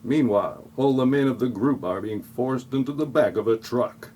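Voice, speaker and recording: A man's voice: medium pitch, normal speed, mellow, a little hiss or hum. A man reading scripted comic narration for an audio play in a wry, flippant way.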